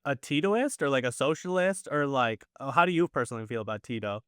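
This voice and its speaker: Smooth-voiced young podcast host. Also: male voice, medium pitch, expressive, quick pace, a bit bright, dry recording, clean studio sound. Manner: informal, loose, with fillers. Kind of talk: casual podcast hosting.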